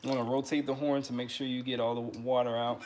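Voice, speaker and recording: A man in his thirties hosting a casual trumpet livestream from his living room, relaxed and friendly, often repeating words as he talks. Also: medium pitch, quick pace, a little hiss or hum. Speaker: trumpet teacher and livestream host.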